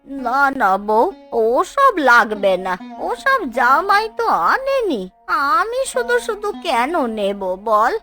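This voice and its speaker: A woman voicing a touchy, nagging old village aunt in a cartoon, with folksy, drawn-out scolding. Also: high-pitched, huge pitch swings, pushed and bright, faint music behind, exaggerated voice. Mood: fussy. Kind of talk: Bengali cartoon dubbing.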